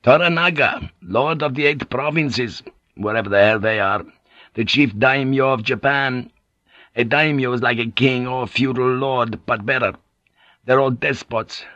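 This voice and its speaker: A swaggering, foul-mouthed sea pilot booms out cheerfully in a pitch pushed well above the reader's own, as a man acts him out. The voice sits dry and close with no room sound. The whole thing comes through a dull, muffled cassette tape. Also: measured pace, no echo. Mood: boisterous. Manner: loud, jovial, profane. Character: boisterous, coarse, swaggering male sea pilot.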